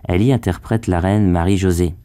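A man presenting a scripted radio feature, reading in a measured, formal way. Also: low voice, steady tone, dark tone, smooth, no echo.

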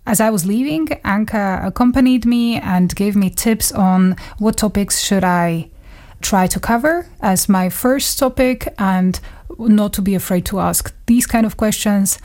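A woman's voice: medium pitch, unhurried, a little mellow. A woman radio journalist recalling a colleague in a thoughtful, conversational way, with small laughs between phrases, recorded in a radio studio. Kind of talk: conversational reminiscence.

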